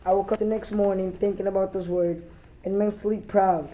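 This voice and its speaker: A woman's voice: medium pitch, level tone, a little fast, warm tone, a little hiss or hum, poor audio. A young teenage girl, a middle school student, reading a text aloud as a dramatic presentation. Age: young teen, middle school student. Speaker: student reader.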